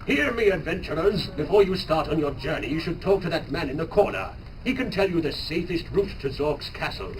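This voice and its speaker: A man's voice: a little high, lively intonation, brisk, close to an everyday voice. Man as a old village man, weary, solemn, dramatic reading, distant noisy recording. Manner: heavy, solemn tone. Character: weary old male villager with a grave warning. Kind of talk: live dramatic manga reading.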